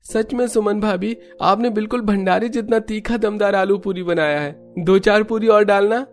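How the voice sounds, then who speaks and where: Man acting a very high-voiced young son. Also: moderate pace, soft background music. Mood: pleased. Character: earnest grown son of a poor family. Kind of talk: Hindi cartoon story acting.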